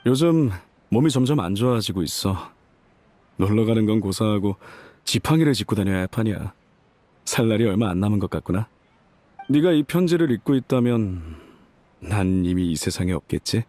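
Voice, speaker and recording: A man's voice: on the low side, animated, moderate pace, warm tone, natural voice. A man voicing an ailing old mercenary leader, a boastful but fond father, in a wistful, wry, folksy game performance. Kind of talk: dramatic game voice acting, letter read aloud.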